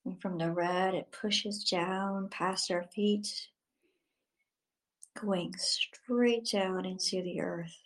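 Female meditation guide, very slow and level in delivery, soothing, hushed at phrase ends. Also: medium pitch, steady tone.

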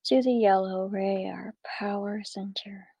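A woman leading a guided meditation, giving calm instructions in short, measured phrases with breathy endings. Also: mid-range voice, dry recording, muffled recording.

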